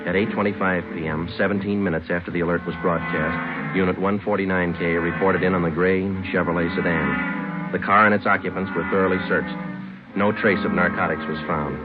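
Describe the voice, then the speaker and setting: Low, deadpan police sergeant played by an actor, tinny recording. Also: male voice, a little fast, close to an everyday voice. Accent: American. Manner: deadpan, matter-of-fact, terse. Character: stoic, businesslike male police detective sergeant who narrates. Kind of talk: 1950s radio drama, clipped narration. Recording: tinny old radio broadcast, music behind.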